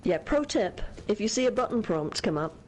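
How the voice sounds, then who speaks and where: A woman's voice: mid-range voice, very animated, a little fast, darkish tone. A chatty young woman co-hosting a game stream, rambling through viewer comments with easy laughs. Her voice sits mid-range, with faint noise behind it. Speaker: streamer co-host. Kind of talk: casual let's-play chat, reading chat messages.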